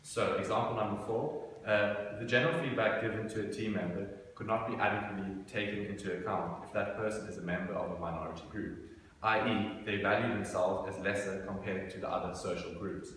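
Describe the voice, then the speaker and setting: Low-voiced young man taking over a presentation. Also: fairly even intonation, a little fast, fairly bright tone, slight background noise. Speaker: student presenter. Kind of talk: class presentation. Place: dim lecture room. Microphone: far room mic. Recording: echoey room from far off.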